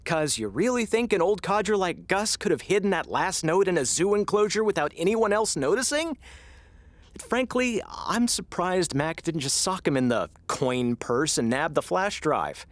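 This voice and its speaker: A man's voice: high voice, animated, moderate pace, no echo. An actor playing a snippy, sarcastic man who keeps interrupting his co-worker, recorded in a dry studio. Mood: needling. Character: snippy, sarcastic grown man, a cynical hater. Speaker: radio show co-worker. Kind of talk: comedic audio drama acting.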